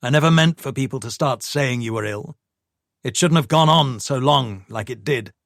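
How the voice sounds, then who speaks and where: A male narrator gives us a controlling man who talks low and steady, pressing his case with firm insistence. The voice stays close to the narrator's own, in a middle register, and the recording is dry and close. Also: normal speed, creaky, no room sound. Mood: pleading. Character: controlling man, defensive and coldly persuasive. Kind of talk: audiobook dialogue.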